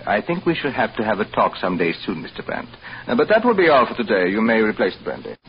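A pompous, suspicious hotel manager speaks in formal, swooping phrases with deliberate pauses. The vintage radio drama recording is muffled, with faint noise. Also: male voice, fairly low pitch, wildly swooping pitch. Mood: veiled suspicion. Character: suspicious, condescending male hotel manager, smooth-talking superior. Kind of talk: 1940s radio acting. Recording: dull, faintly noisy old radio recording.

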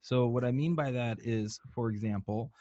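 A young man interviewing someone in a reflective, conversational way, explaining his points in a measured manner and checking for agreement. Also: mid-range voice, mellow, no room sound, highs cut off. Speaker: interviewer, host.

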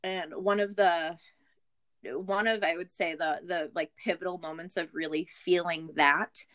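An American woman telling her personal story as a guest in a candid, reflective, halting way, often restarting her phrases. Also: fairly low pitch, fairly even intonation, fairly bright tone. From Boston area, Massachusetts, USA. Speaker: podcast guest, business owner.

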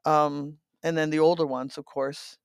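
A woman in her eighties from the Netherlands, reminiscing in a halting, rambling way as an interview guest, often repeating words and checking for agreement. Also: low-pitched, flat delivery, clear, resonant tone, dry recording, clean studio sound. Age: 80s. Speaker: interview guest telling her life story. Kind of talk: reminiscing, conversational storytelling.

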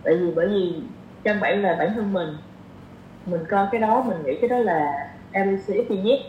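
A woman's voice: medium pitch, moderate pace, lo-fi sound. A woman with a mid-range voice shares opinions in a casual conversation as a guest. She explains things step by step and repeats phrases for emphasis. The sound is roomy, with faint noise behind her. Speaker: podcast guest. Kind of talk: casual chat, sharing opinions.

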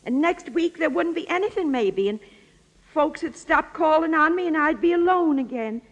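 High-voiced, sly, chatty old lady. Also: animated, moderate pace, lo-fi sound. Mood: sly, eager. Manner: chatty, folksy, coyly evasive. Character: lonely, attention-hungry old former actress, sly murder suspect. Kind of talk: old-time radio drama acting.

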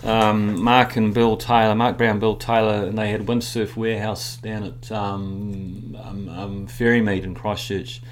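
A middle-aged man with a New Zealand accent, telling rambling, animated stories with many fillers in a casual interview. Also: on the low side, unhurried, hardly any pauses, slight background noise. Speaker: guest.

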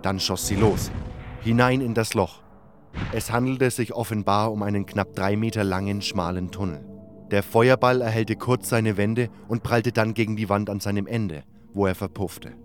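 Male audiobook narrator, lowish voice, scripted fantasy storytelling over music. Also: steady tone, moderate pace.

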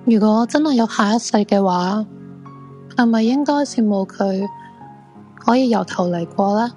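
A woman's voice: mid-range voice, moderate pace, darkish tone, faint music behind, natural voice. A woman with a Hong Kong Cantonese accent playing a world-weary, wistful and frank woman in a radio drama.

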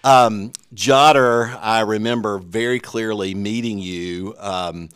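A man's voice: lowish voice, takes it slowly, fairly bright tone, clean tone, no echo, clean studio sound. A man with a Southern US accent hosting a church podcast, speaking earnestly with frequent fillers. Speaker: podcast host.

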